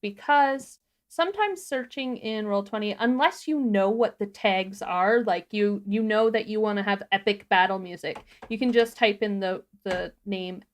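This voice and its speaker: Enthusiastic woman co-hosting, medium pitch. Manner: enthusiastic, informal. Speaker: livestream co-host. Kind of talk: casual software tutorial chat.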